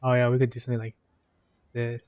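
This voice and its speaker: A man's voice: mid-range voice, monotone, fast, no room sound, highs cut off. A man giving casual gameplay commentary as a streamer, dropping joking asides and muttering to himself. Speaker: video game streamer.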